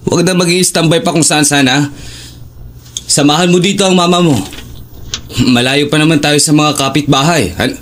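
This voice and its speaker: Higher-voiced Filipino family man played by a man. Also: moderate pace, long pauses, a bit bright, slight background noise, natural voice. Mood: caring, instructing. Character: protective working husband and father. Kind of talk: audio drama acting.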